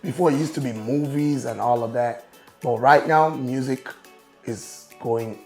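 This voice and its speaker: A man with a raspy voice, talking casually and confidently as a guest on an interview show. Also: medium pitch, unhurried, darkish tone. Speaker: guest, business owner and show promoter. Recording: roomy echo with faint music.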